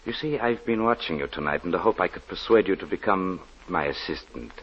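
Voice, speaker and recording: A deep-voiced, unctuous, swooping charmer. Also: male voice, lively intonation, a little fast, a bit bright. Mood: courtly, ingratiating. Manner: courtly, unctuous, florid. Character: suave, flattering male charmer with hidden menace. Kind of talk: 1940s radio drama acting. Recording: dull, noisy old radio recording.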